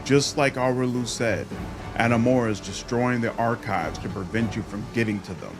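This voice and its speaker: A man reading fantasy game narration aloud in an expressive storytelling style, as a gaming narrator. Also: mid-range voice, moderate pace. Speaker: gaming video creator and narrator. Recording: music behind the voice. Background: game music.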